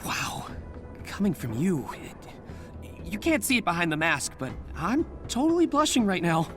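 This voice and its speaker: A man's voice: high voice, huge pitch swings, unhurried, full character voice. An American man playing an upbeat, wisecracking, nervy young superhero in a video game, chatty and rambling. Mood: joking. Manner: chatty, rambling, self-correcting, jokey. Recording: game music behind the voice.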